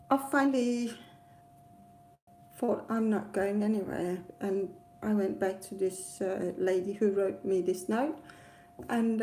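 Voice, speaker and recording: Woman, Danish accent, native Danish speaker, unhurried pace, mellow tone, hesitant rambling vlog storytelling, slight background noise. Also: on the high side. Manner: hesitant, rambling, with frequent pauses. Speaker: travel vlogger. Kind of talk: casual personal storytelling to camera.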